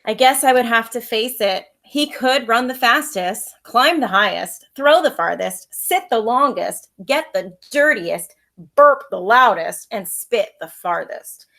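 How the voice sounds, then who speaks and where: A woman's voice: on the high side, lively intonation, unhurried, gravelly, pushed and bright. Woman teacher reading a storybook aloud. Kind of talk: expressive children's storybook narration. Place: at home.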